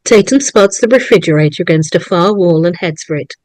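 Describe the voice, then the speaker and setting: A woman's voice: lowish voice, expressive, brisk, a little mellow, no echo. A woman narrating a script, reading screenplay stage directions aloud. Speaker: narrator of a staged table read.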